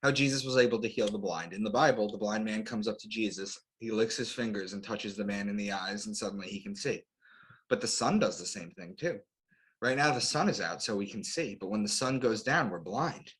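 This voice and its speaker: A man's voice: on the low side, fairly quick, fairly bright tone, low-quality recording. A man giving an explanatory lecture as an interview guest, in short declarative phrases with rhetorical questions. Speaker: guest author and astrotheologist.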